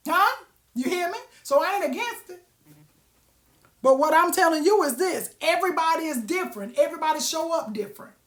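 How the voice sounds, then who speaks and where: Raspy woman giving a folksy pep talk. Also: high voice, a bit bright. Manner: preachy, folksy, asks the audience questions. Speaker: live-stream host. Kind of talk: motivational casual talk. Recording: roomy echo.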